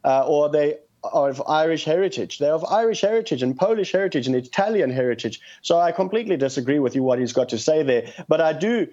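A man's voice: fairly high pitch, expressive, quick pace, hardly any pauses, mellow. A man with a South African accent, speaking earnestly and passionately as a guest on a call-in show. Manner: earnest, impassioned. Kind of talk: phone-in interview. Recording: muffled lo-fi phone line.

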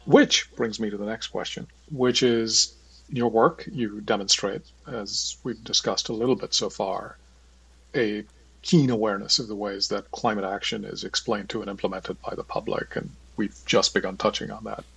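A man hosting an interview podcast, speaking conversationally in measured, rather formal and wordy sentences. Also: lowish voice, steady tone, gravelly, a bit bright, slightly rough, poor audio. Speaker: podcast host, works in finance.

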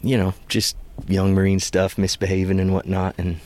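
Guest, man in his 30s from the Pacific Northwest, deep monotone, laid-back storyteller. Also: moderate pace. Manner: easygoing, soft-spoken, drawling storyteller. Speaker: guest, former Marine. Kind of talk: casual interview chat. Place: front seat of a parked van, door open, rain.

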